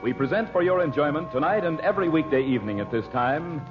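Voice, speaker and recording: A male American announcer in vintage 1940s radio style, with a very lively, swinging delivery. Music sits behind his voice, and the old broadcast sound is dull and muffled. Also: on the high side, quick pace. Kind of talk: 1940s radio announcing, scripted narration and sponsor pitch.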